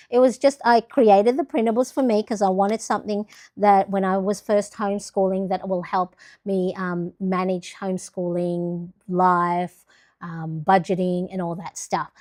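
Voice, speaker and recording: A woman's voice: medium pitch, a little mellow. Chatty woman on a planner livestream. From Philippines. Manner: chatty, rambling with many fillers and restarts. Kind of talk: casual livestream chat.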